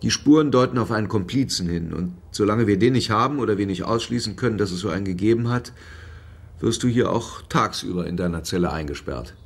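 A world-weary male police lieutenant in a radio play. His voice is fairly low and husky. He questions bluntly and informally. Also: expressive, a little fast. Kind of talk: radio drama acting, interrogation.

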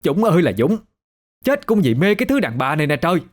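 A man's voice: on the high side, very animated, fast, pitched above the performer's own voice. A man voicing a hot-tempered, accusing village elder sister with a Southern Vietnamese accent in an audio story. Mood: outraged.

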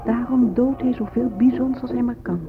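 A woman's voice: a little high, steady tone, normal speed, natural voice. Actress as a grave Native woman, muffled radio. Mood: grave accusation. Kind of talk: solemn radio drama monologue. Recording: muffled phone-like old radio recording. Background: music.

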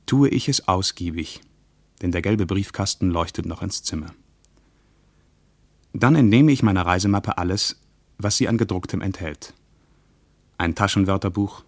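Male actor doing a practical traveller guy in a radio drama, low voice, bit uneasy, dry studio. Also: dry recording, lightly acted. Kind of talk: radio play acting, dialogue and diary monologue.

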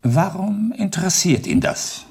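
An actor playing a crotchety elderly retired judge in a German radio play, sardonic and halting, with old-fashioned turns of phrase. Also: male voice, high voice, animated, a little mellow, heavily acted. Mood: reluctant, sardonic. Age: older. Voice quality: gravelly, husky. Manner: halting, addresses listener archaically in third person.

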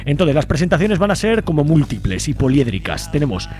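A man's voice: fairly high pitch, big pitch swings, a little fast, a little mellow, a little hiss or hum. A man with an accent from Spain hosting a casual radio chat and introducing his guests. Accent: Spanish. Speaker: radio show host. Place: radio studio.

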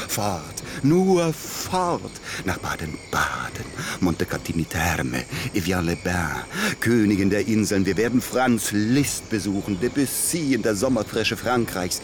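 An actor playing an overconfident, euphoric bridegroom and bon vivant, speaking in grandiose rhapsodies in a radio play. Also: male voice, on the low side, background noise. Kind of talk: German radio play acting.

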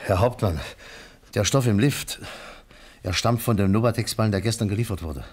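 An actor playing a self-assured warehouse manager in a radio crime drama, reporting in a formal, exact, insistent way. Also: male voice, fairly low pitch, moderate pace, darkish tone, husky. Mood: factual.

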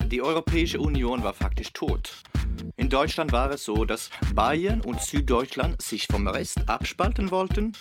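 A man's voice: mid-range voice, lively intonation, unhurried, music in the background, close to an everyday voice. An actor playing a casual, sardonic man giving a documentary-style interview in a radio drama. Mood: sardonic, explanatory. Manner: colloquial, sardonic. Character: casual, sardonic man recounting Europe's collapse.

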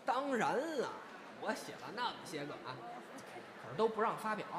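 Man playing a lively, cheeky young crosstalk comedian. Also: high-pitched, moderate pace. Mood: comic boasting. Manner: theatrical, teasing, playful. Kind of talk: crosstalk comedy dialogue in audio drama. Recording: loud background noise.

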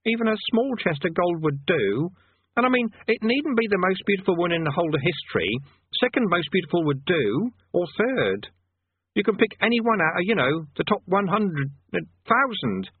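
A man's voice: sits high, very animated, normal speed, husky, dry recording, pitched above the performer's own voice, heavily acted. A man voicing a small, fussy, eager male demonologist who tries pompous old-fashioned commands and slides into pleading. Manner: pompous archaic commands, then pleading and flustered. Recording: tinny phone-like dry sound.

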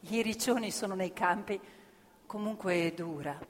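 An actress playing a weary, thrifty worker's wife in radio play dialogue, with a plain, dry, understated manner. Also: female voice, medium pitch, moderate pace, voice pushed down, natural voice. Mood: weary, wry. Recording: reverberant hall with live music.

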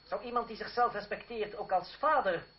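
An actor playing a cool, sardonic theatre player from revolutionary France in a muffled old Dutch radio play. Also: male voice, high-pitched, brisk, natural voice. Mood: coolly sarcastic. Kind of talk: radio drama acting in Dutch.

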